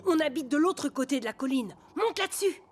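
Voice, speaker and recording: A woman voicing a practical, slightly bossy older child in a French-dubbed children's cartoon, brisk and sensible. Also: high-pitched, expressive, background noise. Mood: practical, worried. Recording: music behind the voice.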